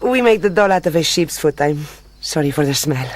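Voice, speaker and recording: A woman's voice: low-pitched, expressive, brisk. An actress playing a guarded, proud young South American country woman in a radio drama, speaking in a reserved, direct way.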